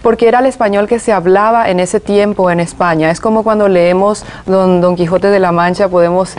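A woman's voice: mid-range voice, steady tone, fairly quick, darkish tone, slight background noise. A woman musician speaking as a guest in a TV interview, explaining at length with many "eh" fillers and restarts.

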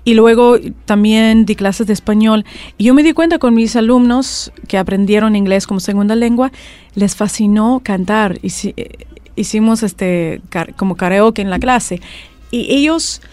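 A woman's voice: on the high side, normal speed, no echo. A woman with a mixed Spanish, Argentine and Mexican accent, a language teacher chatting in an interview and telling stories with lots of restarts. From California, USA. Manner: chatty, animated storytelling with restarts. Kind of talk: conversational interview.

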